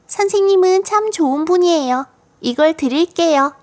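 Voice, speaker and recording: Storybook little girl: high voice, cheeky, confident, matter-of-fact about the wildest tall tales. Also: animated, normal speed, a little mellow. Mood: cheerful, insistent. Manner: matter-of-fact, playful tall-tale telling. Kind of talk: children's storybook audiobook acting.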